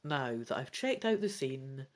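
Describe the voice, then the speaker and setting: A woman's voice: rumbling low, a little slow. A woman reading a gamebook aloud dramatically and expressively, with casual commentary as she plays. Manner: theatrical, expressive. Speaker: gamebook reader and player.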